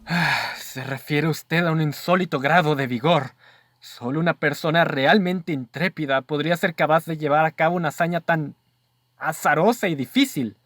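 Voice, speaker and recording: A man's voice: on the high side, moderate pace, a bit bright, no echo, clean studio sound, close to an everyday voice. An actor playing a doubtful male companion in a radio drama, questioning the case in a formal, earnest way. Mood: reasoning.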